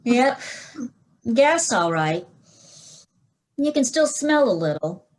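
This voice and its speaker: A woman playing a brisk, dry-witted male police inspector in a 1940s-style radio mystery. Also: on the high side, big pitch swings, low-quality recording. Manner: brisk, dry, matter-of-fact.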